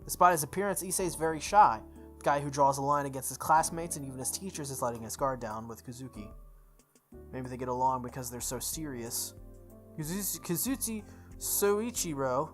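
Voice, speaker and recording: A man hosting a playthrough, reading a visual novel aloud expressively, with casual asides and the odd stumble. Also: medium pitch. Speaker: let's play host.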